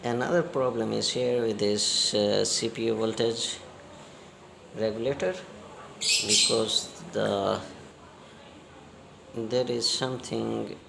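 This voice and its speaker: A man giving a hands-on repair demonstration in halting, non-native English, step by step. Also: on the low side, steady tone, vocal fry, echoey room, noisy background. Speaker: repair technician giving a tutorial.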